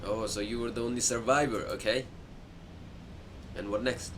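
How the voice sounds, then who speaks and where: A man's voice: on the low side, steady tone, normal speed. A man giving casual playthrough commentary, thinking aloud and talking back to the game as he goes. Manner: reactive, muttering to himself and answering the game. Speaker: gaming video host. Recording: clearly noisy background.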